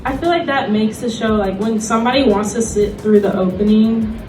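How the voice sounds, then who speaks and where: A woman's voice: fairly high pitch, a little fast. A woman giving a casual, informal panel presentation, talking loosely and conversationally with lots of "like". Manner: conversational, loose, filler-heavy with frequent "like" and small laughs. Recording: roomy distant mic, loud music behind.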